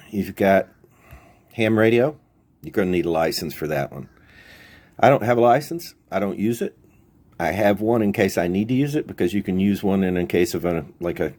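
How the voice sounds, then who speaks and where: A man's voice: sits low, a little mellow. A man hosting a video, explaining gear in an informal, folksy, plain-spoken way. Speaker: YouTube channel host. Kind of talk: casual product overview, explaining radio gear.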